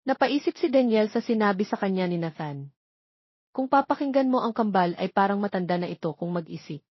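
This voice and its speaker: Female Filipino narrator for romance audio fiction; husky voice, dry, slightly dull lo-fi recording. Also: medium pitch, expressive, brisk, no echo.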